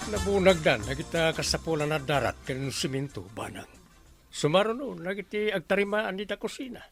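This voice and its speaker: A man's voice: fairly high pitch, lively intonation, brisk, soft background music. A man with an Ilocano accent playing a chatty, scheming village captain in a radio comedy drama. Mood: pleased, scheming.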